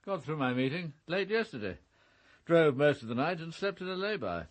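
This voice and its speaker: An English actor in a radio drama playing a titled husband who talks in clipped, telegraphic, hearty phrases. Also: male voice, on the high side, big pitch swings, moderate pace. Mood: brisk. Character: brisk, clipped upper-class titled husband. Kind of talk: British radio drama acting.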